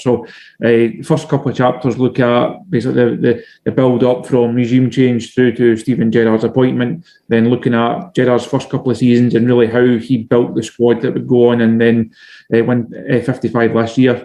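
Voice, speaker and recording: A Scottish man, a journalist guest, answering in a measured, steady way with frequent restarts. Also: medium pitch. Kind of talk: casual podcast interview.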